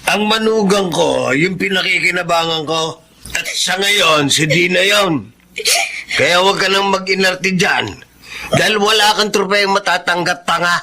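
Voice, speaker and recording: A man's voice: high-pitched, a little slow, sharp tone, exaggerated voice. An actor playing a harsh, greedy older father-in-law in a Filipino radio drama, with a scolding, contemptuous manner and Filipino accent.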